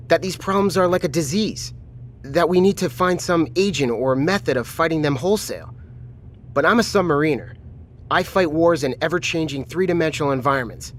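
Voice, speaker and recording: An actor playing a pragmatic submarine commander in an audio drama, measured, reasoning and placating. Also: male voice, a little high, expressive, slight background noise, natural voice.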